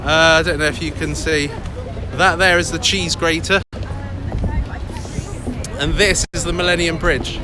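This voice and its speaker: An English man in a loud, chatty, upbeat manner, vlogging live from a busy city street into a handheld phone. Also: on the high side, fairly even intonation, unhurried, long pauses, music in the background, crowd noise. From England. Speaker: crypto vlogger. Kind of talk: casual live vlog. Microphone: phone held by hand, close. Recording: outdoor street noise. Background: city traffic and passers-by.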